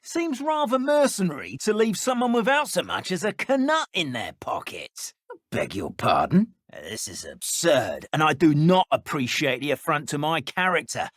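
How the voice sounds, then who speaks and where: A man voicing a fussy, polite, easily affronted dark wizard in a video game, with a British accent and a prim, wordy manner. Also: on the high side, wildly swooping pitch, normal speed, no echo, studio quality, exaggerated voice.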